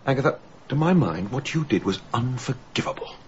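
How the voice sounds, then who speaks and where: A man's voice: mid-range voice, sing-song, fairly quick, highs cut off, a little hiss or hum, close to an everyday voice. An actor playing a stiff, snobbish middle-aged British man in a radio drama, dry, pedantic and slightly hesitant in speech. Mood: wounded, stern.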